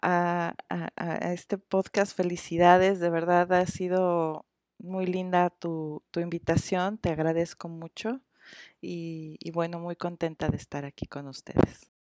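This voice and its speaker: A Mexican woman in her forties, a therapist being interviewed, who talks in a halting way with many hesitations. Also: fairly low pitch, flat delivery, darkish tone, dry recording, clean studio sound. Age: 40s. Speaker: therapist guest. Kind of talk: interview conversation.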